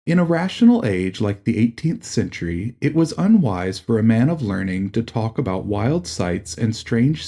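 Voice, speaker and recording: A man narrating a gothic horror audiobook in a measured, dramatic literary delivery. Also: mid-range voice, mellow.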